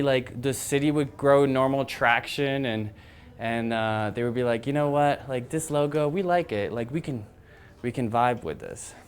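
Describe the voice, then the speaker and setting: Animated young man telling a story on a mic. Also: medium pitch, clean tone. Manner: rambling, animated storytelling with many fillers. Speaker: design agency founder giving a talk. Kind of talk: casual presentation to an audience. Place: event room with reverb. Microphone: handheld microphone.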